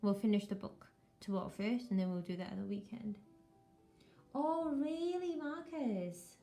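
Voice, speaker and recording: A woman's voice: fairly high pitch, measured pace, mellow, faint music behind. A woman chatting casually with children as a live storytime host, encouraging and full of questions.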